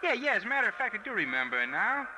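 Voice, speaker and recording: Wily cabbie, high voice, swooping inflection, coy slangy talk, noisy old radio. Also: male voice, very animated, rapid-fire. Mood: coy, obliging. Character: wily male cab driver, bribable, folksy. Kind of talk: 1940s radio acting. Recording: noisy vintage 1940s radio recording.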